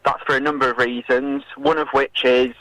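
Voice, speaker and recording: A man speaking as an activist guest in a radio interview, making a political argument in a hesitant, halting way with many filled pauses. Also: medium pitch, little pitch movement, a bit bright, low-quality recording.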